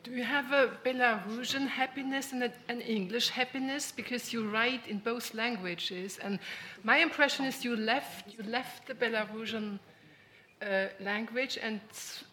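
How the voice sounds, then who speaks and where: A woman's voice: a little high, fairly even intonation, unhurried, bright, forceful tone, slight background noise. A woman moderating a panel discussion, asking questions and correcting herself mid-sentence. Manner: probing questions, self-correcting.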